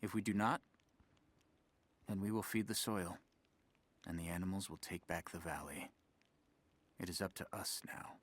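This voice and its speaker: Grave, deep-voiced survivor chronicler. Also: male voice, moderate pace, pauses often. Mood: grim resolve. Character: grave male survivor chronicler of a fallen people. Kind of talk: solemn dramatic game narration.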